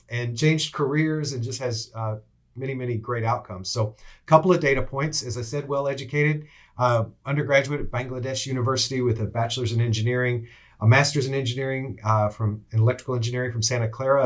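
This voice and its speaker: A man's voice: medium pitch, a bit bright. A man hosting an interview, introducing his guest and asking questions, with some hesitant restarts. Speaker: podcast host.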